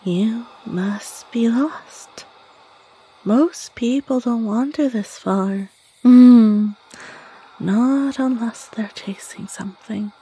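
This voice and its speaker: A woman voicing an eerie, sly creature of the woods in an audio roleplay, slow, musing and menacing, with a breathy, whispery voice. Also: fairly high pitch, mellow, heavily acted, low-quality recording. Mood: eerie, intrigued. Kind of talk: scripted audio roleplay monologue.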